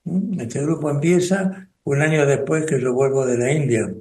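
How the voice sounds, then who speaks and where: Older Argentine man, slow and reflective. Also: a little high, mellow, reverberant. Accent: Rioplatense Spanish. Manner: slow, thoughtful, with pauses and restarts. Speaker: spiritual group coordinator and radio guest. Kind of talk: reflective radio conversation.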